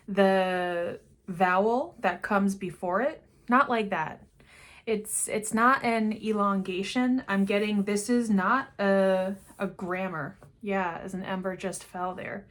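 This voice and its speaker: A woman giving an unscripted spiritual card reading to camera, meandering and often trailing off into quiet asides. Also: mid-range voice. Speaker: intuitive card reader.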